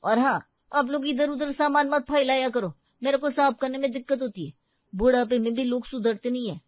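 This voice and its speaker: A woman's voice: high voice, a little fast, dry recording, highs cut off, lightly acted. A woman voicing a rude housemaid in a storybook tale, curt, complaining and talking back. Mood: rude, irritated.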